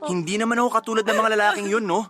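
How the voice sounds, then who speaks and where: A man's voice: high-pitched, steady tone, very fast, bright, forceful tone. A man playing a patient, devout husband comforting his wife in a radio drama, soothing and earnest. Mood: earnest, emotional.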